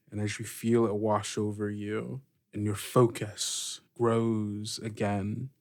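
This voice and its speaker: A man narrating and chatting through an improvised tabletop role-playing game as game master, with deliberate pauses. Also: lowish voice, a little mellow. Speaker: game master and player in an actual-play podcast.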